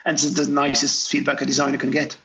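Guy talking english, gravelly, lots of ums and false starts. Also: medium pitch, completely flat delivery, fast, bright, forceful tone. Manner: hesitant, many fillers and restarts. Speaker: graphic designer, interview guest. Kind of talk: relaxed interview answers.

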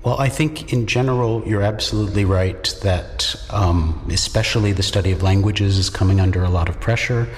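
A man who teaches Czech at a university, answering interview questions in a measured, reflective way with pauses. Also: on the low side, long reverb tail, a little hiss or hum. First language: English. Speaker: university lecturer in Czech studies.

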